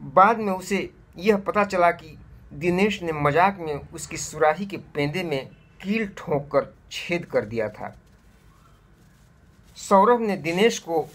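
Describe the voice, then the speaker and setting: Male storyteller for kids' moral tales: husky, deliberate, slow-paced delivery. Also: on the high side, lively intonation, fairly bright tone. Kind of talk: scripted moral story narration for children.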